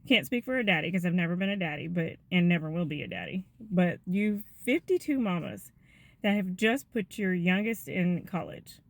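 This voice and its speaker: A woman, giving a gentle, chatty devotional talk to her viewers. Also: fairly low pitch, expressive, moderate pace, creaky, no echo. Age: 50s.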